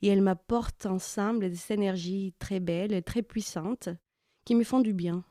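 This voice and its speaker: Woman as a mellow, self-conscious bookish mother. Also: mid-range voice, steady tone, normal speed, no room sound, clean studio sound, close to an everyday voice. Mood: shy, playful. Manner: informal, hesitant, self-conscious. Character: bookish, earnest, playful mother introducing herself. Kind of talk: interview-like monologue in audio fiction.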